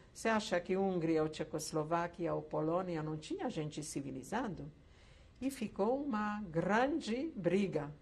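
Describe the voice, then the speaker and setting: An older woman from Czechoslovakia, once a camp survivor, telling her life story slowly with hesitant pauses in an oral history interview. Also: low-pitched, creaky, darkish tone, a little hiss or hum. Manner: slow reflective storytelling with hesitant fillers.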